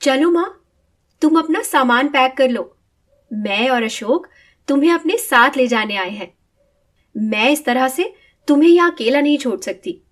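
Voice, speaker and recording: A devoted young daughter in a cartoon moral story. Her voice sits fairly high, and she speaks earnestly, pressing her point. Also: animated, a little slow, pauses often. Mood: devoted, determined. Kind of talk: animated moral story dialogue.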